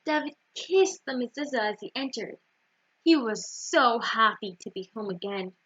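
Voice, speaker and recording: Lively high-voiced woman reading a children's story. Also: normal speed, husky. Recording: dull, roomy lo-fi recording.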